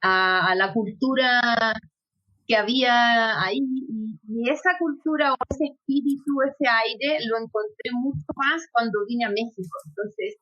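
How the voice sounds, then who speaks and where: A woman's voice: fairly high pitch, a little slow. A woman raised in Ecuador, an interview guest, telling her story with frequent laughs and hesitant fillers. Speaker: guest, actress and yoga instructor. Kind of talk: conversational interview. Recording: dull lo-fi audio.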